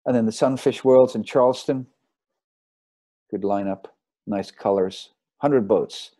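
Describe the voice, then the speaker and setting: Man, low mellow voice, dry close sound, rambling easygoing lecture, video call. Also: measured pace, dry recording. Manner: rambling, relaxed, with fillers and restarts. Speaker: marine photographer giving a lecture. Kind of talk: casual slideshow talk. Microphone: video call mic.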